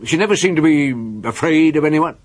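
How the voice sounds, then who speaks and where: An actor playing a gruff, world-weary chief police inspector who questions people with terse authority in a radio drama. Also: male voice, fairly high pitch, expressive, a little fast, lightly acted. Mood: probing, stern.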